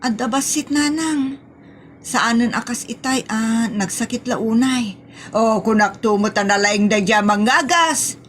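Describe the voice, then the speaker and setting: A woman's voice: fairly high pitch, measured pace, close to an everyday voice. A woman playing a fussy, superstitious, scolding mother in a comic audio drama, with an Ilocano accent and fretful exclamations, over faint noise. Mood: anxious, excited.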